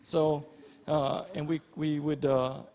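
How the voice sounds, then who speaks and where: Man, middle-aged, slightly high-pitched. Informal keynote speaker, folksy storyteller, pauses often mid-thought. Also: level tone, dark tone. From Hawaii. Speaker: Army general and physician, keynote speaker. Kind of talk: informal keynote speech with personal stories.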